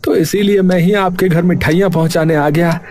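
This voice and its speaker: A man's voice: high voice, fairly even intonation, moderate pace, a little mellow, close to an everyday voice. A man voicing village characters in a cartoon, a hesitant servant and a friendly sweet seller, speaking with many stammers and fillers. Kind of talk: cartoon voice acting.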